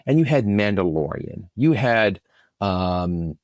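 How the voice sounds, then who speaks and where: A man's voice: fairly low pitch, big pitch swings, slow, a little mellow, no echo, clean studio sound. A man, a producer and podcast guest, talking casually in an interview and explaining his work.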